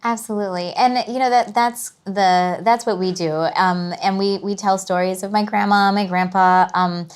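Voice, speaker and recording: A woman's voice: mid-range voice, fairly even intonation, moderate pace, few pauses, smooth. A woman in casual podcast chat, talking reflectively with filler words.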